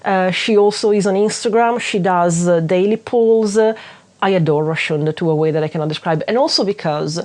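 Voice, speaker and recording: A woman's voice: on the low side, fairly quick, a little mellow. A woman from Northwest Italy with an Italian accent, chatting enthusiastically as she recommends other creators. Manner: enthusiastic, gushing, with frequent fillers and restarts. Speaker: witchcraft channel host. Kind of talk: casual recommendation vlog.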